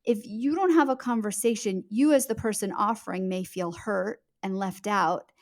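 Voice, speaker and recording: Emphatic, fluent woman with mid-pitched voice. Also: moderate pace. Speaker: guest author. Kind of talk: conversational interview explanation.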